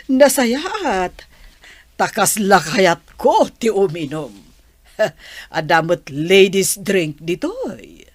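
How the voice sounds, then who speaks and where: A jolly, assured middle-aged Ilocano mother in a radio drama. She takes her time and moves her pitch around a lot, in a dry studio sound. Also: extremely slow, long pauses, no echo, exaggerated voice. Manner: expressive, prone to chuckling. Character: jolly, assured mother and soon-to-be grandmother who likes drinks.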